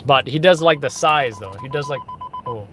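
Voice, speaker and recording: Give me a chatty man host with a fairly high voice, commenting live over loud street noise. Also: wildly swooping pitch, moderate pace. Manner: chatty, explaining police radio codes. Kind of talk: casual live commentary on news footage.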